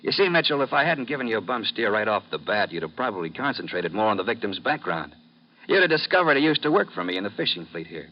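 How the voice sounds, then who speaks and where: An actor playing a smug, calculating smuggling boss and killer in a 1950s radio drama, speaking smoothly and gloatingly. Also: male voice, fairly low pitch, very animated, fast. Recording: dull, noisy vintage radio broadcast.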